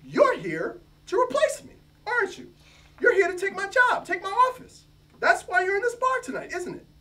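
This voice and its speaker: A man's voice: wildly swooping pitch, moderate pace, pushed and bright, full character voice. A man voicing an anxious, indignant literature professor, accusing and insistent, in a reading from a novel. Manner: high-strung, insistent, emphatic. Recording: distant mic, faint room noise.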